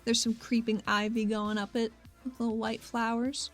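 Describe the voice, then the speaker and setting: A woman's voice: fairly high pitch, flat delivery, moderate pace, soft background music. A woman playing a tabletop roleplaying game, casually improvising in a playful, breezy manner.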